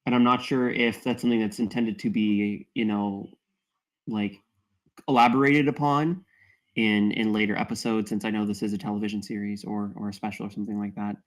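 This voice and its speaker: A man giving wordy, measured feedback in a casual group discussion. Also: lowish voice, flat delivery. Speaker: table read participant giving feedback.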